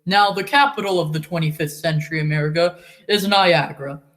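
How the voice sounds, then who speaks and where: A woman's voice: on the low side, fairly quick, natural voice. A woman playing an enthusiastic old-time radio serial announcer, showy and storytelling in delivery. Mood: enthusiastic, inviting.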